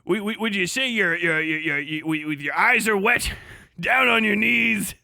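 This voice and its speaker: A man's voice: high voice, vocal fry, bright, forceful tone, no echo. A man hosting a casual livestream, commenting in a profane, joking way and often stumbling over repeated words. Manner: profane, joking, halting and repetitive in delivery.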